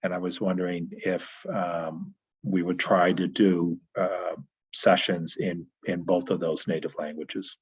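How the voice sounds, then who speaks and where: Man, committee member, very low and husky, halting, dull recording. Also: flat delivery. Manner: halting, many fillers. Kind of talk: meeting discussion.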